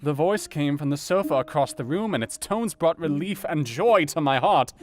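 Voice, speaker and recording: An actor playing an earnest doctor, narrating a dramatised audiobook in a measured, formal and dramatic way. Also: male voice, on the high side, animated, close to an everyday voice. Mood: relieved, excited. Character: earnest male doctor recounting a grim night.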